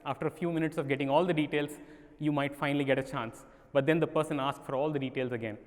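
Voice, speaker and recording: A man's voice: a little high, steady tone, fast. Man, Indian accent, presentation talk, rhetorical questions, large echoing hall. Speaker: conference speaker. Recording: reverberant conference hall.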